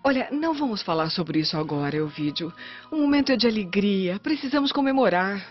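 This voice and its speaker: An actress playing an anxious, loving Roman wife in a radio soap opera, earnest, theatrical and gently pleading. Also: female voice, medium pitch, lively intonation, brisk, lightly acted. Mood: conciliatory, cheerful. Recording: dull lo-fi radio drama with music.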